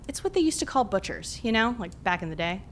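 A woman's voice: mid-range voice, very animated, quick pace, slight background noise, natural voice. A woman playing a breezy, chatty friend with morbid relish in an audio drama. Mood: chirpy. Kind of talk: naturalistic audio drama acting.

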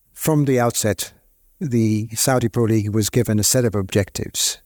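A man speaking as a league executive on a conference panel, in a measured, businesslike way. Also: fairly low pitch, a little mellow, no echo, studio quality. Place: conference stage.

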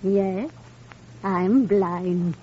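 Calm blind woman, slow and reassuring, mellow voice, old radio drama, muffled noisy recording. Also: medium pitch. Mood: gentle, gracious. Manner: measured, gentle, reassuring. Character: calm, wise blind woman with guide dog. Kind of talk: 1940s-50s radio drama acting.

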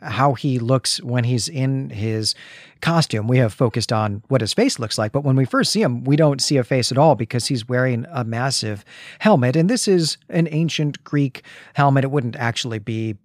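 A man's voice: medium pitch, normal speed, no room sound, studio quality. A man co-hosting a podcast, talking reflectively and conversationally about stories and posing questions. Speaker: podcast co-host. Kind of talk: conversational literary podcast discussion.

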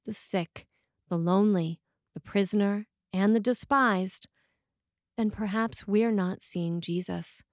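A woman priest reads a scripted sermon in a measured, deliberate way, with pauses between phrases. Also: mid-range voice, a little mellow, dry recording, studio quality.

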